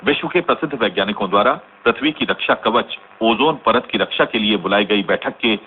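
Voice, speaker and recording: An actor playing a sober radio news announcer, reading formally and in a measured way within a radio drama. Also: male voice, lowish voice, lightly acted. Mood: grave, formal. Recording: tinny band-limited radio broadcast, faint noise.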